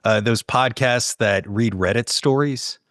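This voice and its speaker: A man's voice: mid-range voice, a little slow, fairly bright tone, no echo, clean studio sound. A man chatting casually as a podcast guest, with informal, loose phrasing. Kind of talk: casual interview chat.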